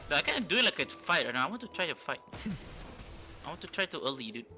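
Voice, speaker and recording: A man who speaks English as a second language, giving casual, halting, slangy commentary as he livestreams a game. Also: fairly high pitch, sing-song. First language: not English. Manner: rambling, informal, slangy, halting. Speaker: livestreamer, VTuber. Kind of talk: casual live gaming commentary. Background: game music.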